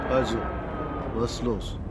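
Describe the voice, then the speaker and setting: A man's voice: mid-range voice, level tone, measured pace, natural voice. An actor playing a curious, streetwise man from a Berlin corner shop, speaking clipped slang in a radio drama. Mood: curious, bargaining. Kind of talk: naturalistic radio drama acting. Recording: very loud street noise.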